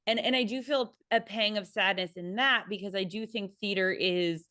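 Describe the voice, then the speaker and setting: A woman with a medium-pitched voice chats on a panel in an informal, halting way. She is self-deprecating as she works through her thoughts, and her sound is dry, with little room to it. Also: bright, forceful tone, no room sound. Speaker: theater panelist. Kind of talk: casual panel discussion.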